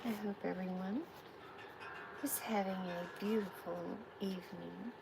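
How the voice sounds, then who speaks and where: A woman hosting a live tarot reading, giving spiritual guidance in a soft, soothing way. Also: medium pitch, steady tone, gravelly, very noisy. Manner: soft, unhurried, soothing affirmations.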